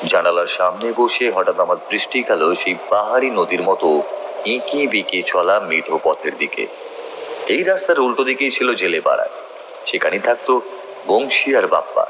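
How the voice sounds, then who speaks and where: A man with a low, animated voice narrates a scripted ghost story in the first person. Clear ambient noise runs behind his voice. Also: normal speed. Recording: noisy nature ambience behind voice.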